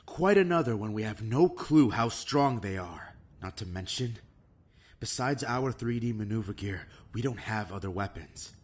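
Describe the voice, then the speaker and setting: A stern male lieutenant, voiced by a man, barks curt orders and does not soften them. His voice sits low and husky, and the pace stays clipped. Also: expressive, normal speed. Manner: curt, commanding, swears. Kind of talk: fan audio drama acting.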